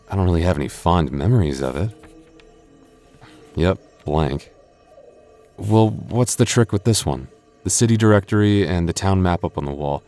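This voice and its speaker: A man's voice: sits low, dark tone. A man voicing a boyfriend in an ASMR roleplay, stammering through restarts and teasing playfully.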